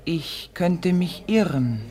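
An actress playing a mysterious, sad female fortune-teller in a radio play, speaking in a grave, measured, cryptic manner. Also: fairly low pitch, lively intonation, warm tone.

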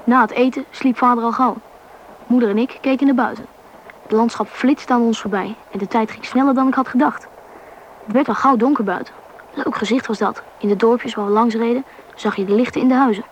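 A woman playing a young boy who narrates a radio play in measured storytelling. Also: on the high side, steady tone, a little hiss or hum, lightly acted, poor audio. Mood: reflective. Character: young boy narrating his family's train journey.

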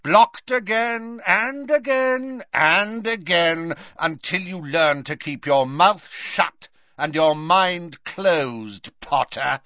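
Guy doing a sneering angry wizard man in an audiobook, shouty and taunting, forceful sharp voice, very dry sound. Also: sits high, animated, slow, hardly any pauses, no room sound, highs cut off, voice pushed up, exaggerated voice. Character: sneering, furious dark wizard man, adult, contemptuous.

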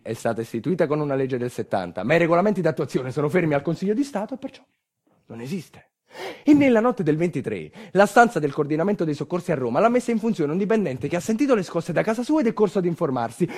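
Animated, quick-talking man delivering a theatrical monologue. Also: a little high. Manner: rhetorical, wry, with refrains and colloquial asides. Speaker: monologue stage performer.

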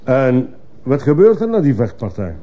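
A man's voice: medium pitch, very animated, normal speed, natural voice. A man playing a stern, probing judge who fires insistent questions in a radio courtroom drama. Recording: muffled, hissy old radio play recording.

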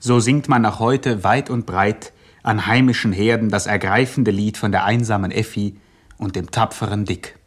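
An actor playing a solemn, pedantic chronicler who narrates a satirical gangster radio play deadpan. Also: male voice, on the low side, normal speed, lightly acted. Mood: mock solemn. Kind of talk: mock-scholarly scripted radio drama narration.

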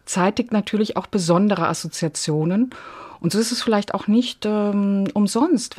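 Female radio guest, lowish pitch, reflective, articulate, academic phrasing. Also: darkish tone. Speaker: interview guest, theatre dramaturge.

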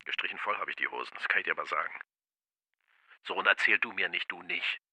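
An actor playing a middle-aged former village macho in a radio drama, coarse and blustering but jittery, with agitated colloquial speech. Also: male voice, low voice, fast, bright, forceful tone, heavily acted, rough recording.

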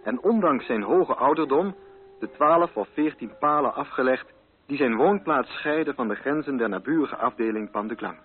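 A man's voice: medium pitch, lively intonation, moderate pace, husky. A man reading scripted literary narration for a radio play. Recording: narrow-band old radio recording.

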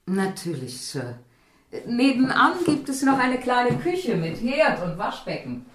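A woman's voice: a little high, very animated, a little slow, roomy sound, distant mic, slight background noise. An actress playing a deferential, eager landlady in a radio drama, polite, obliging and a little hesitant. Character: deferential, eager married landlady showing rooms. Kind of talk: German radio drama acting.

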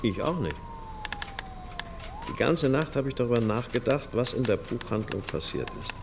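An actor playing a brooding, intuitive police detective in a radio crime drama, thinking aloud with insistent questions. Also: male voice, medium pitch, expressive, moderate pace, gravelly, noisy background. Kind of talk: naturalistic German radio crime drama acting.

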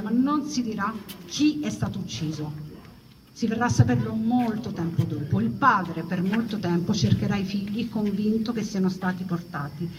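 Distant, noisy recording of a woman storyteller. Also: medium pitch. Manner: dramatic delivery with repeated, building phrases. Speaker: stage storyteller performing a monologue. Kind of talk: theatrical narrative storytelling.

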